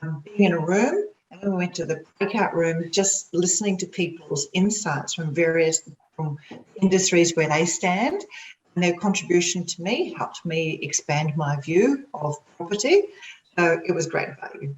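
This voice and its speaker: A woman on a video call with a croaky voice, sharing quick, enthusiastic feedback. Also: fairly low pitch. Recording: echoey room with faint noise.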